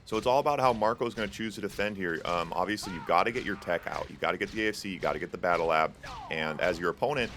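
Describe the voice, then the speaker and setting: Low-voiced young guy casting a strategy game on stream, chatty, lots of uhs, a little background hiss. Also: quick pace, a bit bright. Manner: casual and chatty, many fillers, jokes with chat. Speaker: tournament host and caster. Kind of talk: live esports play-by-play commentary.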